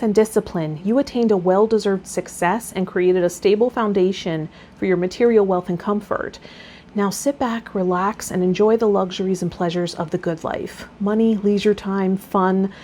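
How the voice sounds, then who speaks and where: A woman's voice: medium pitch, a little mellow. Woman tarot host over background noise. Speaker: tarot reader and channel host. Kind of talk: reading aloud and casual spiritual vlog talk.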